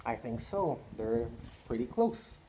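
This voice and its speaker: A man's voice: medium pitch, lively intonation, takes it slowly, dark tone, slight background noise. A man reading a storybook aloud to children, with chatty asides and questions to the young listeners.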